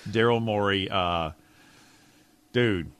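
A man's voice: on the low side, slow pace, a bit bright, clean tone, dry recording. An American man co-hosting sports talk radio with casual, opinionated analysis.

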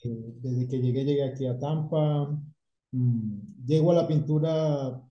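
A middle-aged man from Colombia, a painting company owner, telling his story in a relaxed casual chat and dropping English words into his Spanish. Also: medium pitch, measured pace. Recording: echoey room, dull lo-fi sound.

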